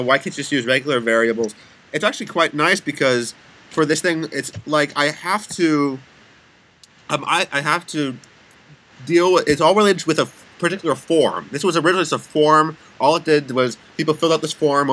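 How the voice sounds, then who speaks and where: A man's voice: fairly high pitch, bright, forceful tone, rough recording. A man co-hosting a casual programming chat, rambling and laughing often, with a self-deprecating manner. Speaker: podcast co-host.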